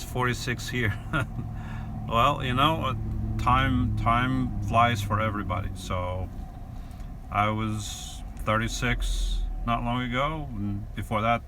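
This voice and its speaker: A man in his forties with a New York accent, chatting easygoing on a live stream from his van and answering viewer questions with many fillers. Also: medium pitch. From New York and New Jersey. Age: 40s. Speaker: vlogger. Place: inside a van. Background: inverter hum.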